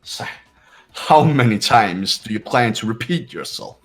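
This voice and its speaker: A cold, arrogant young rich heir, voiced by a man in a fan-voiced game drama. He talks down to everyone in a lowish, flat-toned voice. Faint music runs underneath. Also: unhurried. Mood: condescending. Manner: cold, condescending, dismissive.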